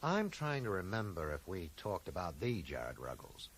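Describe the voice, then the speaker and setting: A man's voice: deep voice, sing-song, normal speed. An actor in a radio drama playing a polite but persistent male investigator, probing and apologetic yet insistent. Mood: pressing. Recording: noisy 1980s radio drama broadcast.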